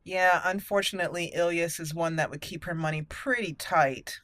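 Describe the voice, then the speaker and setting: A woman's voice: on the low side, steady tone, normal speed, fairly bright tone, no room sound. A woman hosting a gaming channel, giving casual, playful commentary on a roleplay game in a chatty way.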